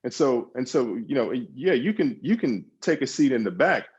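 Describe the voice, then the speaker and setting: A man's voice: medium pitch, sing-song, moderate pace, a little mellow, breathy edge, muffled recording. A man talking as a guest on a podcast, answering in emphatic bursts with repeated words and restarts. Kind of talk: conversational interview answers.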